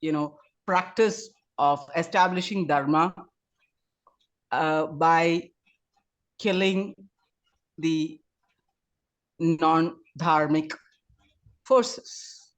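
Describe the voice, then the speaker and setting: A woman's voice: low-pitched, fairly even intonation, extremely slow, pauses often, rough recording. A woman, speaking unscripted in a group discussion, polite and hesitant as she searches for words.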